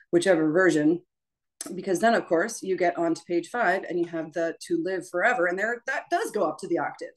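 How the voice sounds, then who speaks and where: Woman teaching music, lowish conversational voice. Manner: explanatory, conversational, often checking in with "right?". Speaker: choral music teacher leading a voice workshop. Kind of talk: informal teaching talk.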